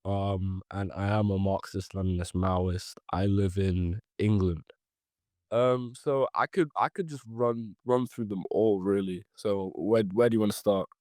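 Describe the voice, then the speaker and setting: A man's voice: lowish voice, fairly even intonation, measured pace, a little mellow, no echo, clean studio sound. Hesitant young man explaining history. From Ethiopia and Eritrea. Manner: hesitant, many ums and restarts. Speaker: podcast guest. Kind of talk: casual explanatory chat.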